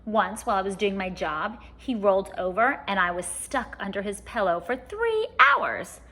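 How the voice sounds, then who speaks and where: A woman's voice: medium pitch, animated, normal speed, pushed and bright. A woman voicing a grumbling tooth fairy who complains in a confiding, matter-of-fact way, in a storybook reading.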